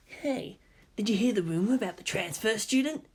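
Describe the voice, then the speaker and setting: A man voicing an excitable, gossipy nerdy schoolboy in an audiobook, eager and animated. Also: mid-range voice, moderate pace, slightly rough, voice pushed up.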